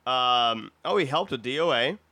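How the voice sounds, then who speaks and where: Bright-voiced man, profane podcast host. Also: medium pitch, lively intonation, moderate pace. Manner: profane, jokey. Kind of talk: casual comedic podcast chat.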